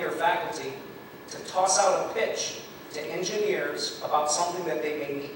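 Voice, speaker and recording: A man giving formal welcome remarks as a college host, speaking in an earnest, steady way. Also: fairly high pitch. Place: event room. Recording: echoey hall mic from far off, background noise.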